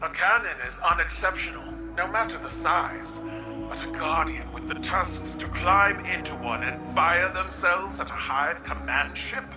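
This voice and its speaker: An actor playing a proud, blunt alien warrior in a video game, formal and matter-of-fact. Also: male voice, high-pitched, a little slow, low-quality recording. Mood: dry, amused respect. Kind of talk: dramatic video game dialogue. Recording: music behind the voice.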